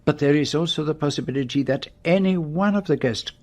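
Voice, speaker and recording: A man acting in a radio mystery drama as a shrewd, methodical detective who speaks precisely and deliberately, with theatrical pauses. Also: a little high, a little mellow, natural voice. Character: shrewd, methodical detective explaining his solution.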